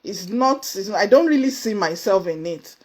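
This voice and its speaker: A woman with a Nigerian accent giving a motivational talk to camera, earnest and emphatic, with sudden high exclamations. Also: animated, moderate pace, a little mellow. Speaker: motivational speaker. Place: indoors, seated before camera.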